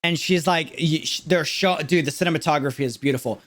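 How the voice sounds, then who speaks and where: A man's voice: on the high side, fairly quick, a bit bright. A man giving casual, slangy livestream reaction commentary, thinking aloud as he goes. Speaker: reaction streamer.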